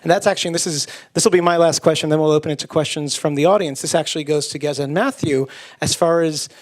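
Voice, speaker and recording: A man's voice: fairly high pitch, fairly even intonation, fast talker. A man moderating a post-screening Q&A, asking long, rambling questions full of fillers. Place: screening auditorium with audience.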